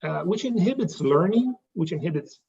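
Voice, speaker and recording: A man with an Italian accent giving a pitch presentation, talking haltingly with many fillers and restarts. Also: animated, gravelly, a little mellow, recorded from a distance, dull audio. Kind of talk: pitch presentation to a live audience.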